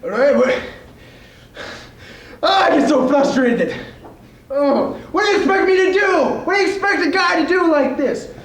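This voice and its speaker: A man playing a bumbling, helpful butcher who frustrates easily, with a goofy, halting, casual delivery in a stage comedy sketch. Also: very high-pitched, animated, a bit bright. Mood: frustrated. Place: live stage before an audience. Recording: echoey stage with background noise.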